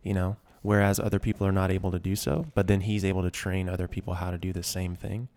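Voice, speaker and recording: A man hosting a podcast, with a deep, gravelly voice. His delivery is level and relaxed, warm with admiration and full of "you know.". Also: fast talker. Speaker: podcast host. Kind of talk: casual podcast conversation.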